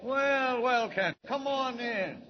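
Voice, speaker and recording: An actor playing a hearty, bossy newspaper editor in a 1940s radio drama, with a brisk, blustering delivery. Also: male voice, very high-pitched. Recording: muffled noisy old radio recording.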